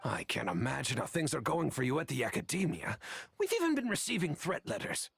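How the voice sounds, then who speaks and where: An actor playing a nagging, exasperated middle-aged father in a video game, with a gravelly, raspy voice. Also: mid-range voice, wildly swooping pitch, fairly quick, fairly bright tone, dry recording, exaggerated voice. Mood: reproachful. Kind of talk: video game character acting.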